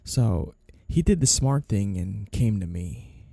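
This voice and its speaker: A man performs a sly, two-faced mafia boss in a period gumshoe ASMR roleplay. He speaks slowly in a low, very velvety dark voice. His manner is flirtatious and insinuating, full of mock innocence, recorded close and dry. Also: expressive, vocal fry, husky, dry recording, heavily acted. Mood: sly, measured. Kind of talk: ASMR roleplay, noir period-piece monologue to a listener.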